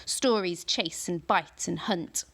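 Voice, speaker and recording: A woman reading aloud, voicing a huge ancient male tree monster with slow, booming declamation. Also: creaky, fairly bright tone, heavily acted.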